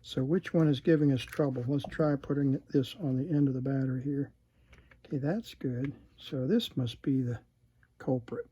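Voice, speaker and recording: A man giving casual, unscripted repair commentary at a workbench, halting and muttering to himself as he works. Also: medium pitch, warm tone, slightly rough, dull audio. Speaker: host demonstrating electronics repair.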